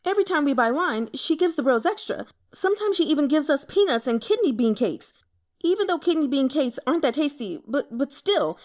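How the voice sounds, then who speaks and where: A woman voicing an excitable, naive young man in an audiobook, whiny and indignant, rushing and stammering through his complaints. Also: high voice, voice pushed up. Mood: rushed, eager. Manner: rushed, stammering, melodramatic complaining. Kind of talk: audiobook character voice.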